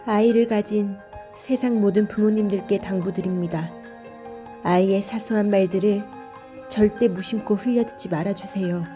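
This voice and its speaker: A woman narrating a scripted audio drama and voicing the mother, with a soft, measured, sorrowful reading. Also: medium pitch, steady tone. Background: faint music.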